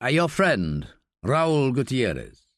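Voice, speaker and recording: A man voicing a persistent foreign investigator who presses with calm, probing questions. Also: medium pitch, takes it slowly, no room sound, clean studio sound.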